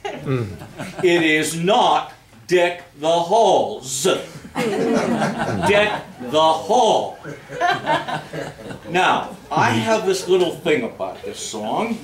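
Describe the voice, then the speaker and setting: A man's voice: fairly high pitch, big pitch swings, extremely slow, pauses often, crowd noise. A man addressing a live audience in a playful, mock-stern way as he leads a holiday sing-along. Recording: echoey room from far off, audience noise.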